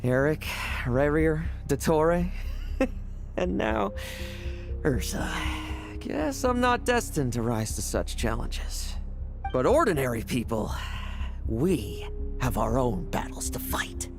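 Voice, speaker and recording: A man's voice: medium pitch, sing-song, slow, pauses often. A man voicing a cocky, reckless young knight in an anime video game, with a taunting, theatrical, sardonic manner. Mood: brooding. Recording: music behind the voice.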